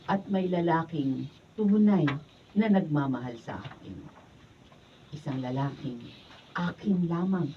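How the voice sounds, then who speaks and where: A woman's voice: low voice, measured pace, pauses often. A woman acting in a Filipino radio drama as an emotional wayward daughter, with a Filipino accent and melodramatic delivery. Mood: calm, reflective. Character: Myra, anguished wayward daughter, later repentant and at peace. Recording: distant mic, dull noisy room recording.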